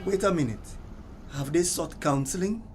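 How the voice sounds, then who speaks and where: A man's voice: a little high, moderate pace, darkish tone, lightly acted. A man with a Caribbean accent playing an evasive, placating policeman and family friend in a TV drama. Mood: measured, resigned. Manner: hesitant, defensive, placating. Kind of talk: TV drama dialogue. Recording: music clearly behind the voice.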